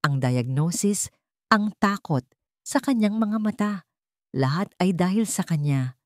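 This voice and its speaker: A woman reading scripted drama narration with emotive delivery. Her voice sits a bit lower than most women's, and the sound is dry and close with no room echo. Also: darkish tone. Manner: emotive storytelling.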